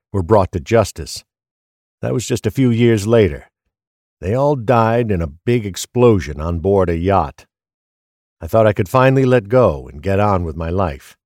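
A man acts a weary middle-aged detective in a crime audio drama. He speaks in a deep, subdued, confessional voice. The sound is dry, with no room to it. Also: darkish tone, dry recording.

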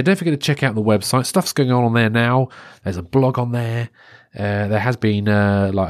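A man's voice: lowish voice, a little mellow, no room sound, studio quality. Congested man rambling on a podcast. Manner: rambling and chatty, many restarts and fillers. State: stuffy-nosed, congested. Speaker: podcast host. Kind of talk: solo podcast monologue.

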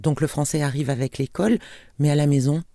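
Male host, raised pitch, gravelly and mellow, measured interview delivery. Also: fairly even intonation. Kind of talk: scripted introduction then interview questions.

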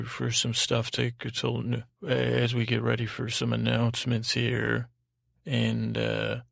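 A man hosting a bedtime podcast in a meandering, halting monologue, repeating himself and trailing off, gently self-deprecating. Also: medium pitch, vocal fry, dry recording, studio quality. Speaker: podcast host. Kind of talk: rambling sleep-podcast monologue with listener thanks.

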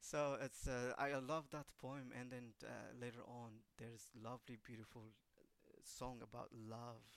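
A man, a choreographer and dancer, telling an interviewer about a creative project, speaking haltingly and searching for words. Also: mid-range voice, flat delivery.

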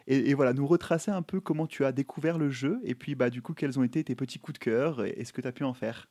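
A man's voice: mid-range voice, quick pace, darkish tone. Enthusiastic male interview host. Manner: enthusiastic, many fillers and restarts. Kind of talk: livestream interview.

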